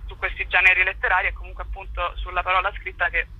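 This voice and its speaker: A woman's voice: lowish voice, monotone, fast, pushed and bright, slightly rough, muffled recording. A young woman speaking conversationally as a radio interview guest, explaining things while searching for words. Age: young adult. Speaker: cultural association spokesperson, interview guest.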